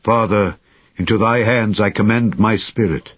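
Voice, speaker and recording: A grave, prophetic holy man, voiced by a male narrator speaks with solemn compassion in a scripture reading. The voice is low and husky. The old recording sounds dull and narrow. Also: dry recording. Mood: solemn, compassionate. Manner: slow solemn pronouncement. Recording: muffled, band-limited old audiobook recording.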